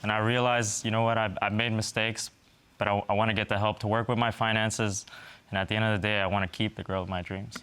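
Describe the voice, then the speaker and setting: A young man with an American accent defending himself haltingly and conversationally in a TV court. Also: lowish voice, flat delivery, fairly bright tone, clean tone. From Texas, United States. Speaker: litigant on a TV court show. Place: TV studio courtroom.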